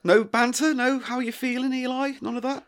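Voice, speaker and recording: A man's voice: very high-pitched, steady tone, fairly quick, a bit bright, clean tone. A man co-hosting a podcast in casual banter, teasing and needling his partner playfully. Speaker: podcast co-host.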